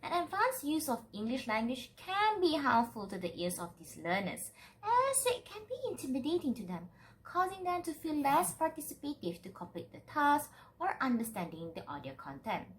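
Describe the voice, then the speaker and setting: A woman's voice: high-pitched, sing-song, moderate pace, slight background noise, low-quality recording. A young adult woman giving a scripted academic presentation in an emphatic, formal delivery, as a student presenter.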